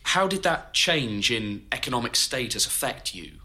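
An actor playing a curious, slightly awkward oral history interviewer in an audio drama, asking questions in a hesitant, inquisitive way. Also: male voice, medium pitch, big pitch swings, moderate pace, bright, forceful tone, exaggerated voice.

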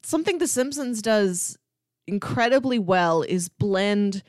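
A woman's voice: mid-range voice, expressive, a little slow, a little mellow, no echo, studio quality. A woman chatting casually as a podcast co-host, explaining things in an articulate, enthusiastic way. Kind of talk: casual chat about sitcoms.

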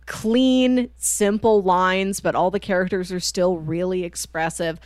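A woman's voice: fairly low pitch, unhurried, no room sound. Chatty woman podcaster, dry close sound. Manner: animated storyteller, acting out quoted lines informally. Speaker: podcast co-host reviewing books. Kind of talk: casual conversational book discussion.

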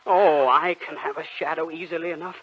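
An actor playing a desperate young count without a shadow, with anguished, overwrought pleading, in old-time radio acting. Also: male voice, fairly high pitch, steady tone, brisk, natural voice. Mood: despairing. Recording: dull old radio broadcast recording, faint hiss.

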